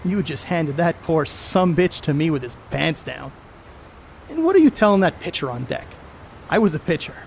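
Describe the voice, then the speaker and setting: A man's voice: on the high side, sing-song, normal speed, vocal fry, slight background noise. A man on stage playing a brash, cocky 1930s baseball star who blusters in folksy, profane slang. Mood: scornful, blustering. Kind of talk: amateur stage play acting.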